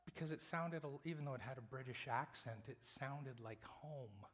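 A male preacher giving a sermon, moving between loud emphatic lines and hushed whispers. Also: mid-range voice, moderate pace. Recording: long reverb of a large hall.

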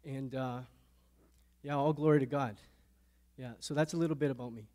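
A man in his thirties from Canada, telling his faith testimony in an interview, halting and repeating words, growing quiet on hard memories. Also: mid-range voice, fairly even intonation. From Canada, born in a Thai refugee camp to Lao parents. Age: 30s.

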